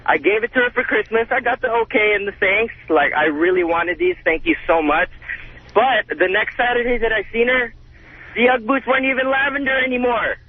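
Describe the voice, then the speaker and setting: A man calling a radio show, telling a story in a colloquial, complaining way with a husky voice. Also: high voice, brisk, a little hiss or hum. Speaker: radio call-in guest. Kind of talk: casual storytelling. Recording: tinny phone line.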